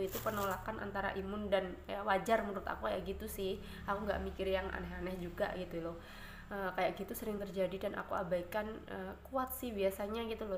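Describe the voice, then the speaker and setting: A woman vlogging from home with a stuffy, congested voice, chatting to viewers in a rambling way with many fillers. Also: medium pitch. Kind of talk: casual chat to viewers. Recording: echoey room, faint ambient noise.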